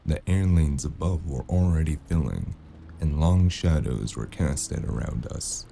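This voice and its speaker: A man acting as a hard-boiled detective with an extremely low, creaky voice. He is worn out and laconic, delivering deadpan noir lines in a dark, mellow tone for an audio drama. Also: unhurried, slight background noise, heavily acted. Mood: brooding narration. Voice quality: gravelly. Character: weary wounded noir detective, gruff, dry-witted, narrating his case.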